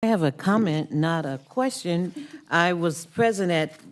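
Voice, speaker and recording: Low-voiced woman board member commenting. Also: measured pace. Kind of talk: meeting comment.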